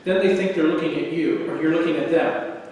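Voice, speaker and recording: A man with a tenor voice evaluating a speech at a club meeting, measured and encouraging. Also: a little high, a little mellow, a little hiss or hum. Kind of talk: spoken feedback. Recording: echoey hall from far off.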